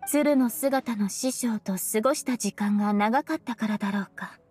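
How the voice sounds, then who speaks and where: A woman's voice: on the high side, moderate pace, a little mellow, breathy edge, dry recording, faint music behind. A woman voicing an earnest, polite young woman, a devoted disciple in a Japanese video game, with formal, careful phrasing. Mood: thoughtful.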